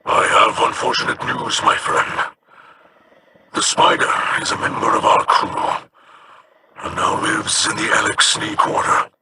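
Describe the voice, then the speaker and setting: A man voicing an honorable alien captain in a video game, speaking formally and sternly in a rasping growl. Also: fairly low pitch, fairly even intonation, measured pace, heavy background noise. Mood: grave. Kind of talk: video game character dialogue.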